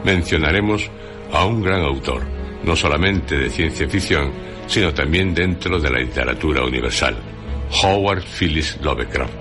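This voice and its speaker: Man, bass-deep voice, formal scripted radio narration, literary delivery, light music behind. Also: normal speed.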